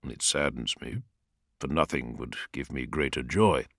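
A solemn demigod lord speaks low and quietly with grave, measured command, as a man narrating gives him voice. His voice drops below the narration and stays weary and controlled, in a dry, close recording. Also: animated, vocal fry, dry recording, heavily acted.

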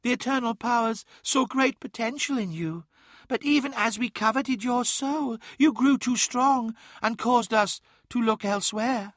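An ancient, boastful demon god speaks in a strikingly high, bright male voice. It is grandiose and commanding, taunting its listener. The sound is close and dry. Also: measured pace, no echo. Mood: gloating.

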